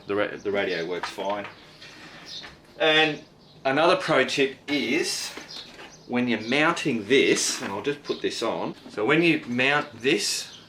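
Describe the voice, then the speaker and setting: A man's voice: fairly low pitch, pushed and bright, slight background noise. An Australian man giving a casual hands-on product review in a blokey, slangy, mildly sweary way. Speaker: product review video host.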